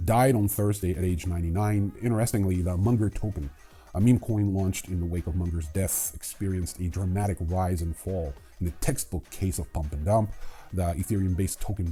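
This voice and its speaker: A man's voice: deep voice, mellow, slightly rough. A man hosting a news show, giving sardonic, irreverent commentary with casual asides. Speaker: host of a crypto news show.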